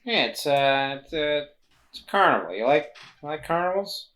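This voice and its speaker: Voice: mid-range voice, animated, moderate pace, fairly bright tone, close to an everyday voice. A player voicing a laid-back, considerate male traveler in a tabletop game, mild, hesitant and casual. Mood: gently concerned.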